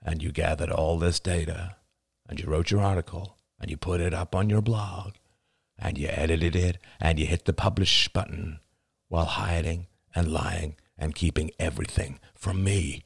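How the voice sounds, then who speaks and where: A man's voice: sits low, steady tone, moderate pace, vocal fry, slightly rough, dry recording, clean studio sound, heavily acted. A man voicing an angry, exasperated young man in an audiobook, biting, incredulous and accusatory with his partner. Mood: angry, sarcastic.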